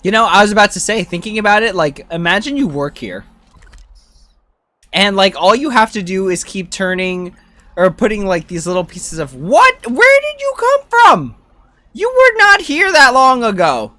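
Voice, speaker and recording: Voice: mid-range voice, sing-song, moderate pace, sharp tone, no echo. A game streamer, chatting casually and thinking aloud while playing. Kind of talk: livestream gameplay commentary, casual chat. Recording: game music behind. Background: video game music.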